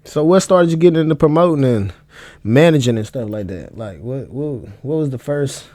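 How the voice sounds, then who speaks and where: A man hosts a casual podcast and asks his questions in a laid-back, slangy way. His voice sits at a middle pitch, and the recording is dry and close. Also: animated, normal speed, mellow, no room sound. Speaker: podcast host.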